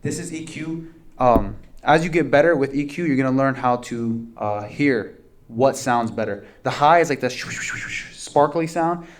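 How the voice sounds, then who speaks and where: A man's voice: medium pitch, measured pace, a little hiss or hum. A man giving a casual hands-on tutorial as an instructor, explaining things step by step in plain words and repeating key points. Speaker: instructor demonstrating audio gear.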